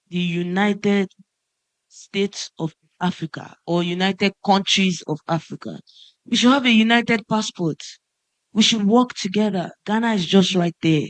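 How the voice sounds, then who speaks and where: A woman's voice: medium pitch, no echo. A woman from Nigeria, a musician on a conference panel, speaking passionately and with emphasis. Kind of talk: panel discussion remarks. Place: conference stage.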